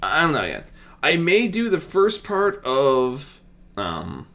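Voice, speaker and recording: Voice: medium pitch, wildly swooping pitch, takes it slowly, fairly bright tone. An amateur storyteller reading fiction expressively and chatting in casual asides.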